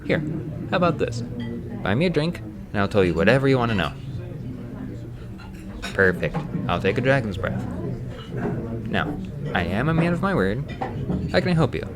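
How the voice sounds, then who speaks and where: A man's voice: medium pitch, very animated, normal speed, pauses often, a loud crowd, close to an everyday voice. A man voicing a boastful, cynical ex-adventurer drinking in a tavern in a roleplay piece, with a sardonic, bragging manner. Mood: cocky. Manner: cocky, sardonic, slurred bragging. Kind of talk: romance roleplay ASMR.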